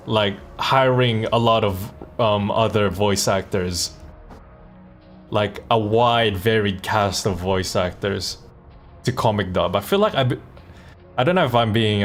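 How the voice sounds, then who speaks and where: Male streamer, low register, informal and off the cuff, music playing behind him. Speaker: streamer and comic dub voice actor. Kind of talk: casual livestream chat.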